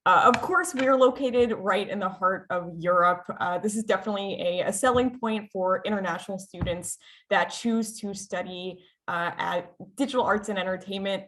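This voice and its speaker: A woman's voice: mid-range voice, normal speed, a bit bright. An American woman presenting her school on a video-call webinar, upbeat and chatty, with frequent filler sounds and restarts. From United States.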